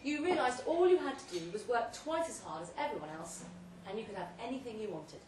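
A woman reads a short story aloud at a live event, delivering it theatrically in measured, deliberate phrases. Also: on the high side, animated, darkish tone. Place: pub event room, read aloud live. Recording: roomy, distant live recording with faint noise.

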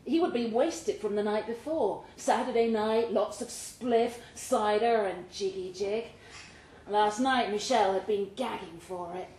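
A woman reading fiction aloud at a live event in an expressive storytelling style. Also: mid-range voice, unhurried. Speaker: short story reader at a live literary event. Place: indoor pub event room. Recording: roomy pub recording with faint noise.

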